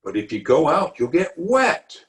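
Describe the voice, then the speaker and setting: A man's voice: a little high, wildly swooping pitch, normal speed, fairly bright tone. A man playing a pompous, self-justifying middle-aged uncle in an old-time radio comedy, with a blustery, chuckling manner. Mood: coaxing. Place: recorded remotely over a video call.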